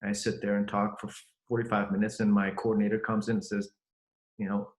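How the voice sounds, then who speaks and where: A middle-aged man from Germany hosts a podcast conversation, speaking earnestly and often pausing on fillers and restarts. His voice sits a little low. The sound carries a noticeable room echo. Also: little pitch movement. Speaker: podcast host. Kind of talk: conversational interview.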